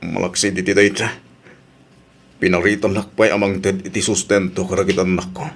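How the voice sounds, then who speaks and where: An actor playing a remorseful, troubled husband in a radio drama, pleading hesitantly and stammering. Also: male voice, low voice, flat delivery, fairly quick. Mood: downcast, frustrated.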